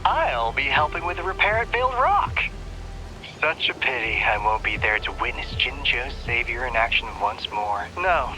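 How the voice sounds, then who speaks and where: An earnest, friendly comrade in a video game. The voice is very bright and forward, mid-pitched and articulate, with faint music underneath. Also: male voice, big pitch swings, moderate pace, poor audio. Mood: wry, friendly. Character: earnest, friendly male comrade giving urgent briefings.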